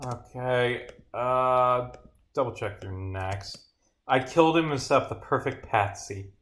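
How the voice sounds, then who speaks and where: A man's voice: mid-range voice, measured pace, a bit bright. A man casually livestreaming his own game, reading dialogue aloud and muttering short asides to himself. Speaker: game developer streaming.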